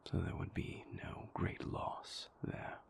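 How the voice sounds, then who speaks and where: A man playing a brooding, weary vampire in an intimate audio roleplay, with a breathy, creaky voice and halting, deliberate, formally worded confessions. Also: in a whisper, lightly acted. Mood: somber, measured. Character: brooding, weary male vampire, a king's conflicted enforcer and lover.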